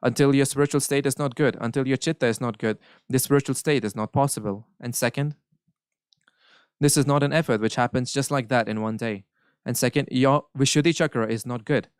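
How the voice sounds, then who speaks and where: Smooth-voiced man interpreting. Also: mid-range voice, fairly even intonation, no room sound, studio quality. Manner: measured, earnest, occasional self-corrections. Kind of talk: live interpretation of a spiritual Q&A.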